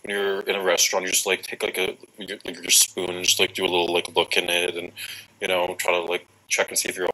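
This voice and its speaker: A young man in an archive TV interview and audition, talking in a vain, halting way that is full of "like". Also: low-pitched, monotone, pushed and bright, low-quality recording. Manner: vain, filler-heavy, halting with lots of "like". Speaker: casting contestant.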